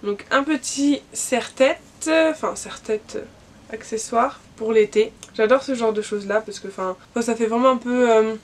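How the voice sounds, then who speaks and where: A woman's voice: on the high side, moderate pace, slight background noise. A young woman with a cold, chatting casually in a vlog and showing her gifts, with lots of fillers and restarts. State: has a cold. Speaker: vlogger. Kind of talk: casual gift haul vlog.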